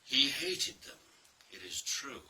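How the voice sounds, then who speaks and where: A man narrates a dark tale in a very sharp, forceful voice with widely varied pitch. He is deliberate and theatrical, leaning on key words and pausing between phrases. He sounds set back from the microphone. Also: big pitch swings. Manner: theatrical, deliberate, with pauses and emphasis. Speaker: reader of a play. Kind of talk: dramatic scripted narration of a dark story. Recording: distant mic with faint background noise.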